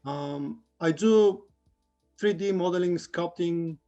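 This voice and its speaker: Male guest, 40s, from Bulgaria, second-language speaker; slightly high voice, halting and modest delivery. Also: mellow, lo-fi sound, faint music behind. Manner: hesitant, many fillers, modest. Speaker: guest, 3D character artist. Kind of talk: casual podcast interview.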